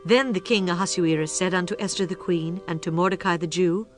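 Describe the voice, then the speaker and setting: A woman's voice: lowish voice, fairly even intonation. Woman narrating scripture over music. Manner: measured, formal storytelling. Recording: music bed under the voice.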